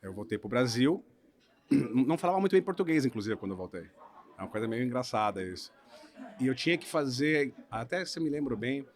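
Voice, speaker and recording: A man from Brazil, a lawyer in his thirties, telling the story of his career in a relaxed podcast conversation, often checking in with "né?". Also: mid-range voice. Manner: relaxed storytelling, hedging with frequent "né?". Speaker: guest lawyer. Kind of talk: casual podcast interview.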